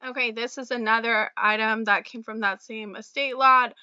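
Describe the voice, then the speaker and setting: A young woman vlogger, slightly raspy from a cold, chatting casually about her resale items with fillers and little laughs. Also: a little high, steady tone, normal speed, pushed and bright, no echo, highs cut off. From Florida. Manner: chatty, friendly, many fillers and small laughs. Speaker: reseller vlogger. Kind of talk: casual talk to camera about sales.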